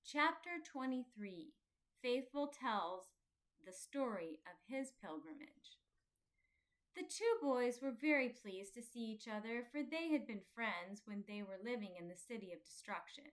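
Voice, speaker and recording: A woman reading a children's storybook aloud as an expressive scripted narration. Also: on the high side, a little slow. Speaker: children's audiobook reader.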